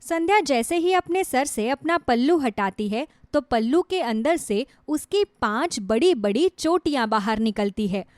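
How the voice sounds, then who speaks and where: A woman narrates a scripted moral tale. Her voice is high in pitch. The recording is very dry, with no room sound. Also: lively intonation, a little slow, hardly any pauses, darkish tone, dry recording. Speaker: story narrator. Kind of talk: scripted Hindi story narration.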